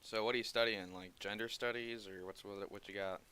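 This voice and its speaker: Bright-toned man hosting a livestream. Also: lowish voice, fairly even intonation, a little fast. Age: 30s. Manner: loose, laughing, reads chat aloud. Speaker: host. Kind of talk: livestream chat.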